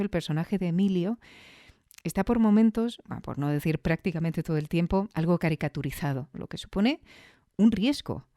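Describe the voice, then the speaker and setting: Female host, Spain Spanish accent, soft dark tone, close dry sound, thoughtful conversational commentary. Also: low-pitched, no echo. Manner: reflective, explanatory, with rhetorical questions. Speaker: audio fiction review show host.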